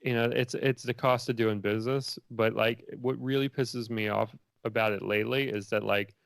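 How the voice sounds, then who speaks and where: A man's voice: mid-range voice, fairly even intonation, normal speed, creaky, dry recording, clean studio sound. A man answering interview questions as a filmmaker in a rambling, informal way full of filler phrases. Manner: rambling and informal, many likes and you knows.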